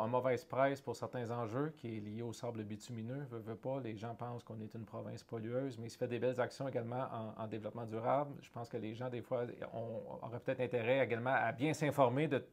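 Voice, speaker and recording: A man's voice: mid-range voice, steady tone, fairly quick, few pauses. A middle-aged man with a Canadian French accent, answering interview questions earnestly, often restarting phrases as he searches for words. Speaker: guest, head of a francophone economic development organization. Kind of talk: conversational interview.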